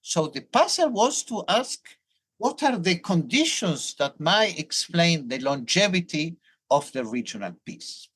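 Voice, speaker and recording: Brief: older male academic with a Hebrew accent, thoughtful and explanatory, lively intonation. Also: a little high, unhurried, husky. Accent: Hebrew-accented English. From Argentina. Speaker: professor, guest. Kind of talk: academic interview.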